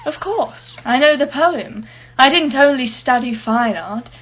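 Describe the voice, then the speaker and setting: A haughty, wealthy woman collector in a radio drama. Her voice sits fairly high, and she speaks in an arch, witty, defensive way. The sound is slightly dull. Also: animated, a little slow, background noise. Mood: nostalgic, proud. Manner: arch, articulate, sardonic. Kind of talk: radio play acting.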